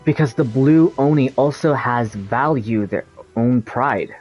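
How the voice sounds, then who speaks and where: A man with a husky voice reads game dialogue aloud. He goes slowly and haltingly, like an amateur picking through the lines, with faint music in the background. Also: mid-range voice, muffled recording.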